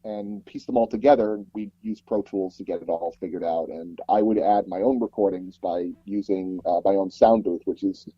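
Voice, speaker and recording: A man with a fairly low voice chats casually as an interview guest. He rambles through his stories, restarting phrases as he goes. The sound is very dry, close and a little dull, as from a foam-padded closet booth. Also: normal speed, hardly any pauses, husky, no echo. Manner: rambling, animated storytelling with frequent "like" and repeated false starts. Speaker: interview guest, sound designer and audio drama producer.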